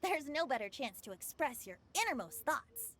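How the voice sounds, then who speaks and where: A breezy young male bard from a game. The voice is high, boyish and bright, swinging lively through its lines with playful, theatrical teasing. Faint ambient noise sits behind it. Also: moderate pace. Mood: breezy, encouraging. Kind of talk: video game voice acting.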